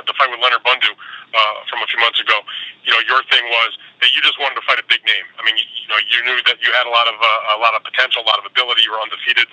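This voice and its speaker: A man's voice: medium pitch, bright, forceful tone, no echo, low-quality recording. A man working as a sports reporter asks wordy, roundabout questions in a conversational way over a telephone conference line. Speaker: boxing reporter asking questions. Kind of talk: press conference call questioning.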